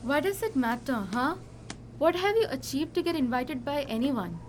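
A woman's voice: sits high, very animated, moderate pace. A young woman playing a discontented, reproachful wife who longs for luxury, in an amateur radio drama. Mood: bitter, reproachful. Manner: reproachful, sighing, dissatisfied. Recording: noisy amateur recording.

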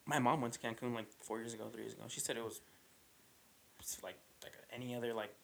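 A man's voice: mid-range voice, fairly even intonation, quick pace, creaky. A young man hosting a podcast in casual chat. He swears freely, laughs often and keeps calling his guest "bro.". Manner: loose and profane, laughs often, says "bro" a lot. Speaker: podcast host.

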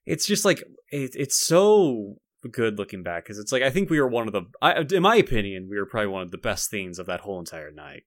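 A man's voice: fairly low pitch, very animated, dry recording. Rambling young man chatting, close dry sound. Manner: halting, repetitive, rambling delivery. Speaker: podcast co-host. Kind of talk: casual chat, reminiscing.

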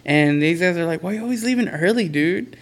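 A man's voice: high-pitched, lively intonation, brisk. A young man co-hosting a casual podcast chat, laid-back and slangy, laughing often. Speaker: podcast co-host.

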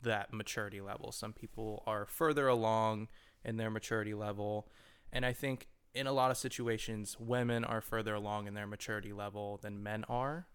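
A man in his twenties, co-hosting a podcast, talking in relaxed, reflective, hedged run-on sentences. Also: lowish voice, fairly quick, clean tone. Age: 20s. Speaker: podcast co-host. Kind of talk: casual chat.

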